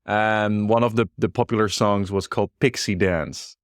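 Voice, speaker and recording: Young dutch guy w/ dutch accent, lowish voice, easygoing, lots of little restarts, dry close mic. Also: moderate pace, no echo. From Netherlands. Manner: relaxed, frequent restarts and soft laughs. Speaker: startup founder guest. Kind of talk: casual interview chat.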